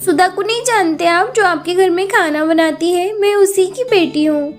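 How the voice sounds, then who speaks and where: A woman's voice: very high-pitched, moderate pace. A woman playing an innocent, childlike little girl, a poor maid's daughter, in an acted children's story with music behind her. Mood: timid.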